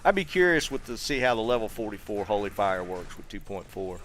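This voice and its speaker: This is a middle-aged man with a Southern American drawl, speaking in a fairly low voice. He chats loosely with his viewers while he plays, drifting between topics and stumbling over restarts and fillers. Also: a little fast, a little hiss or hum. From North Carolina, USA. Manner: relaxed, rambling, many fillers and restarts. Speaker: game streamer. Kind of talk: casual live-stream chat reading viewer comments.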